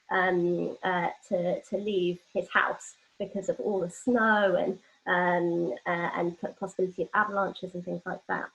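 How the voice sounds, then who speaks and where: A dull, lo-fi online call carries the voice of a young woman at a medium pitch. She presents a workshop informally, explaining as she goes. Her delivery is hesitant, with pauses in the middle of phrases. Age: young adult. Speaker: workshop leader. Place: online video call from home.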